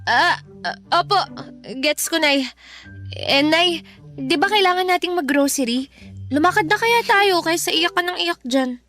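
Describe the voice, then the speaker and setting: A young daughter, respectful and pleading as she tries to calm her mother. Her voice sits high and gentle. Soft music plays faintly in the background. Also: measured pace. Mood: soothing. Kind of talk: radio drama acting.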